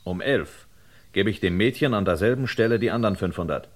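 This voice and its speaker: An actor playing a wary, determined man who speaks in a measured, insistent, questioning way in a German radio crime drama. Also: lowish voice, slight background noise, natural voice. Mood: firm, bargaining. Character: wary, determined man bargaining and probing an old murder.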